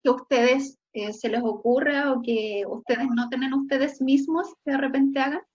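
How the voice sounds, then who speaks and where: A woman's voice: sits high, fairly even intonation, moderate pace, a little mellow. Chilean woman teacher, lo-fi online class. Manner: frequent checking "¿ya?" tags, encouraging.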